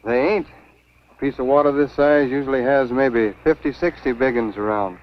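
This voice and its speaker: A man's voice: medium pitch, fairly even intonation, moderate pace, natural voice. An actor playing a laconic, folksy swamp man with a Southern US accent in a 1950s B-movie. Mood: grim, uneasy. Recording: dull 1950s film soundtrack.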